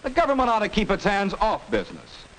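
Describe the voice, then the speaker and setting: Indignant high-voiced American citizen. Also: male voice, huge pitch swings, fast, a bit bright, slight background noise, heavily acted, rough recording. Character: indignant citizen griping about government meddling. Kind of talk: 1940s staged street interview acting.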